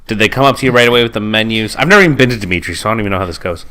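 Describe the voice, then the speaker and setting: A man's voice: lowish voice, bright, forceful tone. A man co-hosting a casual podcast, joking and asking lots of questions. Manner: quick, jokey, asks lots of questions. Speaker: podcast co-host.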